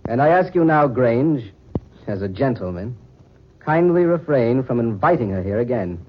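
A man's voice: medium pitch, expressive, moderate pace, a little hiss or hum, lightly acted. A man acting in a 1940s radio drama as a wronged, earnest husband, formal and stiffly dignified. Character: wronged, earnest gentleman husband, indignant and defiant. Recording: muffled 1940s radio recording.

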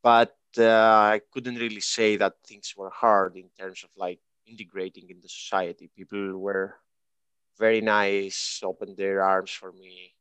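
A man in his twenties from Greece, talking as an interview guest in an easy, reflective way. His voice is low and smooth, recorded close and dry with no room sound. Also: measured pace, dry recording. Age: 20s. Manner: relaxed, reflective, with fillers and laughter. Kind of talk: casual conversation.

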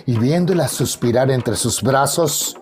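A man's voice: mid-range voice, unhurried, darkish tone, a little hiss or hum. A man narrating a radio soap opera in a dramatic, florid, suspenseful scripted style.

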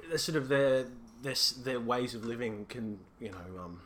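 Bright-voiced young Australian man. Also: mid-range voice, moderate pace, a little hiss or hum. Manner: rambling, laughs often, restarts a lot. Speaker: guest. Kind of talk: casual podcast chat.

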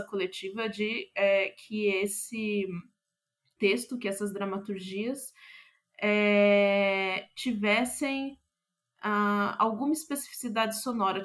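A woman's voice: medium pitch, fairly bright tone. Brazilian woman, hesitant and reflective interview guest. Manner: hesitant, searching for words.

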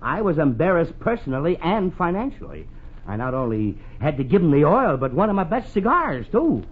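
A man's voice: on the high side, sing-song, a little fast, slightly rough. An actor playing a penny-pinching, stammering middle-aged American father in 1940s radio comedy style. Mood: exasperated. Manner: stammering, blustery, exclaiming. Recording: muffled, noisy 1940s radio broadcast.

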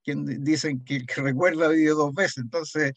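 An older man with a Chilean accent reminisces in a conversational interview, pausing and repeating words, often adding a short questioning "mm". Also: a little high, steady tone, no echo. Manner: hesitant, frequent "¿m?" tags and repeated words. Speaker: interviewee, heritage association founding member.

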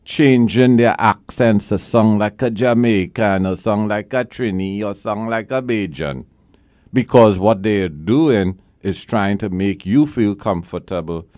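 A man's voice: lowish voice, dark tone, no room sound, clean studio sound. A man hosting a call-in legal advice show as a lawyer, talking bluntly and with emphasis, repeating his key points.

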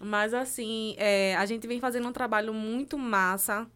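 A woman co-hosts a relaxed live podcast with a fairly high voice. She tells stories with lively energy and laughs easily between lines. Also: moderate pace, clean tone. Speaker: co-host, insurance colleague. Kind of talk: casual live podcast chat.

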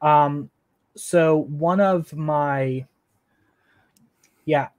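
A man's voice: fairly high pitch, fairly even intonation, very slow, darkish tone. Hesitant young male guest. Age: young adult. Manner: hesitant, filler-heavy, laughing at himself. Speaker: guest, engineering intern. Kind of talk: casual interview answers.

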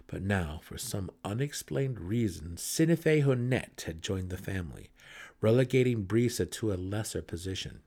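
A man reading a fantasy audiobook as a dramatic narrator, with theatrical, deliberate emphasis. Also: on the low side, expressive, gravelly, a little mellow.

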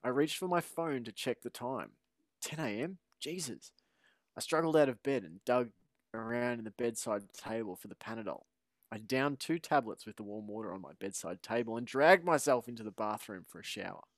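A man's voice: medium pitch, animated, normal speed, dry recording. A man co-hosting a sports podcast, chatting playfully and reading a story aloud. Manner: playful, laughs often. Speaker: podcast co-host.

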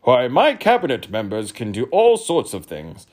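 A man voicing a huge, irritable old king who booms out slow, commanding orders. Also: wildly swooping pitch, a little mellow. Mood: imperious.

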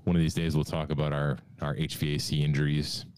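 A man's voice: extremely low pitch, completely flat delivery, fast, a little mellow, clear, resonant tone, no echo. A man in his twenties co-hosting a podcast, teasing with dry humor in casual chat. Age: 20s. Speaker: podcast co-host.

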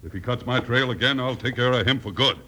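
An actor playing a boastful, scheming western swindler boss in an old time radio drama, gravelly and commanding. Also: male voice, medium pitch, quick pace, bright, forceful tone, slight background noise, close to an everyday voice. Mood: menacing. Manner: gloating, commanding.

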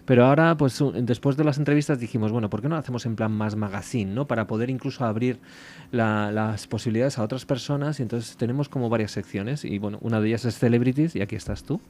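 A man's voice: mid-range voice, quick pace, darkish tone. A man hosts a casual radio interview and asks his guests friendly, prompting questions. Faint noise sits behind his voice. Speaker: radio host.

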